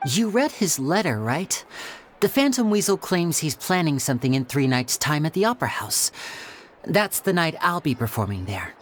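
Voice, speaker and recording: A man voicing a charming young male stage magician in a video game, smooth and teasing. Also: on the high side, very animated, moderate pace, soft background music. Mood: annoyed.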